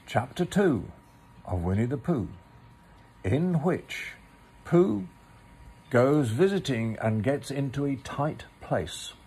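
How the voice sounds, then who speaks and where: A man reading a children's story aloud with gentle, deliberate, theatrical phrasing. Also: medium pitch, animated, breathy edge. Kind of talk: expressive storybook narration.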